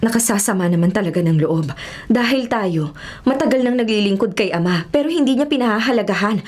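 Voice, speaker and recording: A woman's voice: medium pitch, lively intonation, a little fast, a little mellow, close to an everyday voice. A woman playing a resentful, scolding sister in a Filipino biblical radio drama, exasperated and complaining. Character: Dina, resentful scolding sister of a favored boy.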